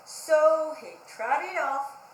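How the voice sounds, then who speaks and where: A woman hosting children's storytime, speaking in a sing-song, deliberate way with simple phrasing, as for reading a picture book aloud. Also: high-pitched, lively intonation, a little hiss or hum. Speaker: children's library storytime host. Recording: roomy echo.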